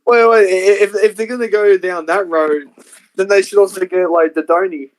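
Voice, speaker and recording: A man's voice: sits high, steady tone, moderate pace, no room sound. A man on a stream mocking and needling the others in casual banter.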